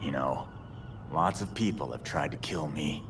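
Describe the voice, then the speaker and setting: An actor playing a brooding, sardonic undead vigilante in a video game, a big gruff man who speaks dryly. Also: rumbling low, background noise. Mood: dry menace. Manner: dry, sardonic, unhurried. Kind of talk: video game cutscene acting.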